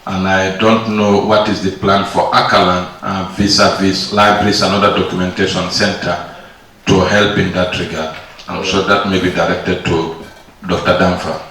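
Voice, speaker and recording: Man, low but forceful voice, formal workshop question, distant and echoing. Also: level tone, moderate pace. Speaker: workshop participant asking a question. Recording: echoey room, distant mic, faint noise.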